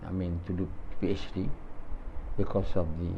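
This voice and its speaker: An older Malaysian man, a native Malay speaker, gives a halting religious talk in accented English and often repeats himself. His voice sits low. It is recorded close up on a phone in a small room, with a dull lo-fi sound and a faint hiss behind it. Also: level tone. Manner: halting, repeats words, opens with Arabic recitation. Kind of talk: talk after dawn prayer.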